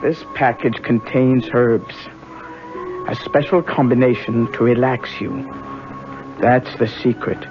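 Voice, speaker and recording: An actor playing a mysterious, controlled healer in a radio drama, deliberate and commanding. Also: male voice, medium pitch, fairly even intonation, music in the background, close to an everyday voice. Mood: instructing. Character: mysterious, controlled male healer dealing in talismans. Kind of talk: 1970s radio drama acting. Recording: dull muffled vintage radio broadcast.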